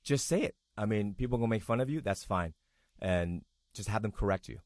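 A man, speaking as an interview guest in a relaxed, plain-spoken way. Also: lowish voice, a little fast, no room sound, clean studio sound. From Taiwan. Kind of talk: casual conversation.

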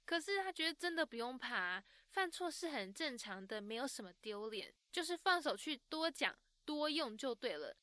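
A woman from Taiwan hosting and recapping the interview in a lively, exclaiming way. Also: sits high, normal speed, hardly any pauses, no room sound. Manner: enthusiastic, exclaiming. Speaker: bilingual co-host summarizing.

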